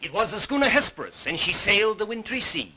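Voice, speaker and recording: A man's voice: sits high, big pitch swings, quick pace, noisy background. An actor playing a jovial, teasing male yacht passenger who needles his friends, in 1930s film style. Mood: mocking.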